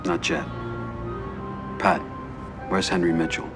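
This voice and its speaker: An actor playing a cold, ruthless middle-aged mafia boss in a game cutscene, quiet and terse with controlled menace. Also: male voice, deep voice, expressive, slow pace, gravelly, music in the background, full character voice. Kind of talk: video game cutscene acting.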